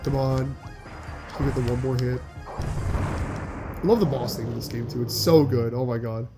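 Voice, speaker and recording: A man's voice: mid-range voice, steady tone, unhurried, music in the background. A young man giving casual, rambling gameplay commentary with a slightly nasal voice, often restarting sentences. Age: young adult. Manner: rambling, chatty, frequent restarts and filler likes. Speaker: gaming channel host.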